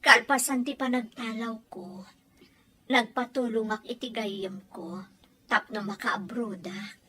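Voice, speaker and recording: A woman's voice: on the high side, steady tone, slow, pushed and bright, husky, exaggerated voice. A woman acting an emotional, plaintive wife and mother in an audio drama, with a Filipino accent. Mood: subdued, regretful.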